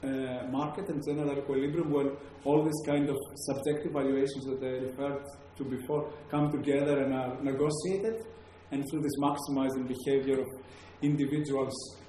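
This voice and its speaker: A man with a Greek accent lectures to an audience, pausing often on fillers and restarting his sentences. His voice is mellow and dark. It rings out in a very echoey room over a faint hum of background noise. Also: medium pitch, steady tone. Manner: hesitant, many fillers and restarts. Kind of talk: academic talk to a live audience.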